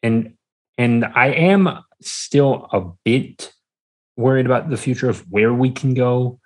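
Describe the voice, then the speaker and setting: Podcast guest: man, mid-range voice, hesitant, casual, conversational. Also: medium pitch. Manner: hesitant, searching for words, halting.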